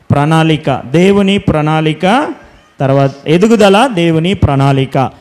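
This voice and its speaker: Man, slightly high mellow voice, children's preacher, simple repeated instructions, prayerful. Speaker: children's Bible school leader.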